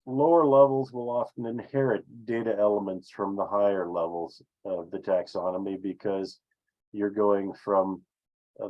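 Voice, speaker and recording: A man presenting an explanatory business webinar with slides, speaking haltingly with frequent filled pauses. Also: fairly low pitch. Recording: dull, lo-fi webinar audio.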